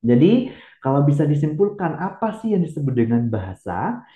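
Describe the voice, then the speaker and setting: A man giving a lecture, explaining step by step with many hesitant filler pauses. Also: fairly high pitch, big pitch swings, dark tone. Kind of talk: recorded lecture. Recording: echoey room, phone-like band.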